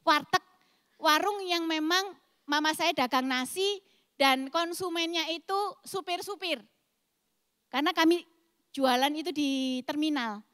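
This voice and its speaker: A woman in her forties preaches with a thick East Javanese accent. Her voice is high and forceful, with an explosive, emphatic delivery. It rings through a reverberant hall as she addresses the congregation. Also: measured pace, pauses often, clean studio sound. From East Java, Indonesia. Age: 40s. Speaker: pastor. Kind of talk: sermon.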